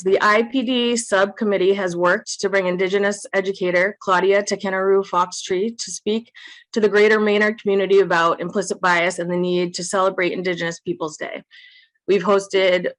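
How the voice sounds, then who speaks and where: A woman's voice: on the low side, a bit bright, dry recording, rough recording. A woman speaking for a committee over a remote video call, giving a prepared announcement. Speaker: subcommittee representative.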